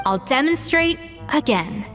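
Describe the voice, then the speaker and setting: A confident young female chef from a video game, proud and exacting. The voice sits high and moves expressively. The speech is precise and instructive, with faint music underneath. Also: lively intonation, measured pace, fairly bright tone. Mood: stern. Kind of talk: video game character acting.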